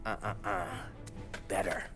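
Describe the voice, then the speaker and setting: An actor playing a brash, excitable American gangster henchman in a game cutscene, talking in cocky street slang. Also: low voice, unhurried. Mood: storytelling, sly. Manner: slangy, cocky, animated street-tough talk. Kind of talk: video game cutscene acting. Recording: music behind the voice.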